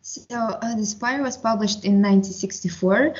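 A woman's voice: mid-range voice, normal speed, muffled recording. A young woman giving a prepared book introduction as a student presenter. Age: young adult.

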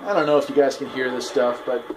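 A man in his forties talking casually and haltingly in an off-the-cuff video review, recorded in a garage on a camera at arm's length. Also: medium pitch, fairly even intonation, loud music behind the voice, poor audio. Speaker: product reviewer, farm owner. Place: garage, indoors.

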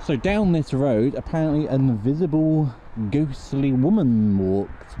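A man presents a casual vlog while walking outside. His delivery is chatty and tongue-in-cheek, with an average-pitched voice. Faint street and vehicle noise sits behind him. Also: animated, unhurried, mellow. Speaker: vlog host. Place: outdoors on village roads.

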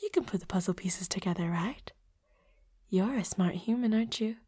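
A woman's voice: medium pitch, normal speed, mellow, natural voice. A woman voicing a flirty, playfully predatory vampire roommate in an intimate audio roleplay, with a coy, teasing manner. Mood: coy, secretive. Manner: coy, giggly, teasing, drops into breathy whispers.

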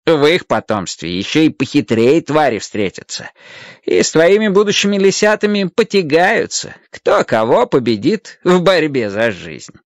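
A man's voice: on the high side, sing-song, normal speed, no room sound, voice pushed up. A man voicing a little old magical guardian in a radio play, a stern but kindly mentor who scolds and lectures emphatically. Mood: lecturing.

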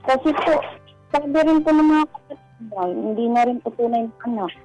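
A Filipino woman calling in for advice, telling her problem in a polite, deferential and halting way. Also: fairly high pitch, a little mellow, no room sound, soft background music, low-quality recording. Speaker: caller seeking advice. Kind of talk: hesitant personal account in conversation.

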